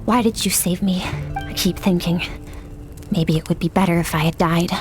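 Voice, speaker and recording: Woman acting young girl apprentice, somewhat low voice, subdued, hesitant, obedient, sorrowful, game drama, music underneath. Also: fairly even intonation, normal speed, close to an everyday voice. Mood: sad, haunted.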